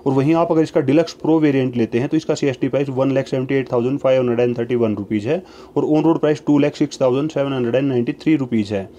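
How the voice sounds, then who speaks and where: A man reads price lists at a brisk pace, each entry in the same steady pattern. His voice is warm and mellow, and the delivery stays even and matter-of-fact throughout. It is a voice-over laid over price slides. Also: mid-range voice, highs cut off. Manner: steady, even list reading in set formulas. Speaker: narrator reading price lists. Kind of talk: scripted voice-over reading prices.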